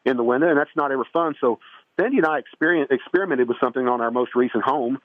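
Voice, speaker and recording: A man's voice: mid-range voice, fast talker, dry recording. A man guesting on a home improvement radio show comes through a narrow, tinny phone line with no room sound around him. He rambles in a folksy way, circling back and restarting his thoughts as he gives advice. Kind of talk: casual radio interview.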